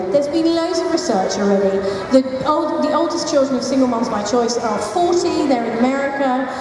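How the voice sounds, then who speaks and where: A woman gives a chatty conference presentation, with self-deprecating jokes and asides. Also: high-pitched. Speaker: founder of a support group for single mothers. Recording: echoey hall, mic heard from a distance, noisy.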